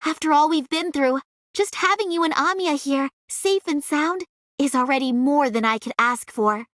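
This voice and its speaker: Tender young woman, game character, high pitch, sincere, dry close sound. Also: normal speed, a bit bright, dry recording. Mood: tender, grateful. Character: tender, devoted young woman, grateful and protective. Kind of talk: scripted game character voice line.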